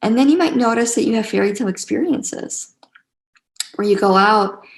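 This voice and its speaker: A woman teaching a spiritual class online in a casual, gentle and encouraging way, repeating phrases for emphasis, with a creaky voice. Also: a little high, animated, moderate pace. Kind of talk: casual guided teaching to a live online group.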